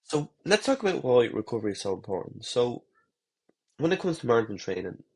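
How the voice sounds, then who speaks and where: A man with a head cold, congested and slightly husky, giving runners a relaxed, rambling talk-to-camera lesson with fillers and restarts. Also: medium pitch, brisk. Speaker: running coach.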